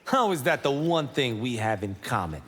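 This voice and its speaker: An actor playing a proud, defiant working-class fighter in a video game, challenging and earnest. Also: male voice, mid-range voice, sing-song, normal speed, fairly bright tone. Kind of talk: video game character acting. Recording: long reverb, faint background noise.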